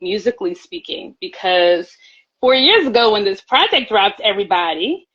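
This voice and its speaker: A woman's voice: medium pitch, very animated, normal speed, fairly bright tone, highs cut off. A woman singer talking earnestly as a guest in a casual live-stream chat, often repeating her words. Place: at home, by her pantry. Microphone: phone on a live stream.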